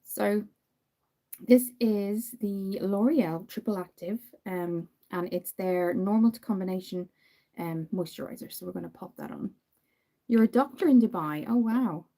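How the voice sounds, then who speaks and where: Woman, Irish accent, Dublin, young adult, mellow warm tone, chatty, frequent ums, livestream host. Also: mid-range voice, a little slow. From Ireland. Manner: chatty, apologetic, greets viewers by name, frequent ums. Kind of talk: casual live-stream chat while doing makeup.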